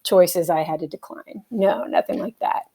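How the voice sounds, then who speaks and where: A middle-aged American woman, a helicopter pilot, speaking as an interview guest in a relaxed, thoughtful way with many fillers and light laughs. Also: on the low side, moderate pace, husky. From United States. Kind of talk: casual conversational interview.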